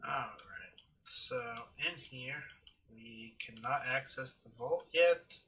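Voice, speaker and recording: A male streamer narrates his playthrough casually. His voice is very bright and forceful at a middling pitch. Faint game noise and clicking sit behind him. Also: expressive, slow. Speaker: gaming streamer.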